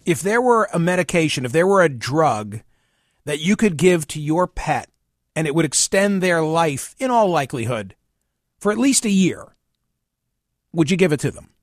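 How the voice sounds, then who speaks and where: A man with a slightly high voice hosts a talk radio show in a dry studio. He reads the news aloud and chats about it, leaning on key phrases and pausing for drama. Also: big pitch swings, dry recording. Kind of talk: conversational news read-through, reading aloud and commenting.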